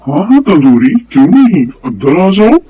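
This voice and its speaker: A man playing a calm, reassuring and commanding king in an Urdu moral cartoon story, measured and gracious. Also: a little high. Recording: phone-like thin sound with music behind.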